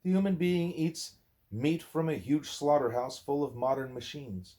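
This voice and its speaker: A man reading a spiritual dialogue aloud at home, speaking deliberately and sometimes correcting himself. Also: a little high, dark tone. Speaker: book reader, parent.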